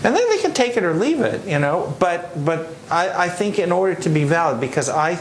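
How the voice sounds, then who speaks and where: A man's voice: sits high, sing-song, fairly quick. A middle-aged man, a composer speaking at a panel, telling anecdotes with frequent restarts in a casual way. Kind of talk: casual talk answering audience questions. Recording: echoey room with noise.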